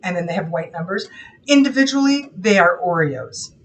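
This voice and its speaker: A woman co-hosting a live sewing shop stream, easygoing and quick to laugh. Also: on the low side, expressive, recorded from a distance. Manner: easygoing, laughs often, self-deprecating. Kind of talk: casual live-stream chat.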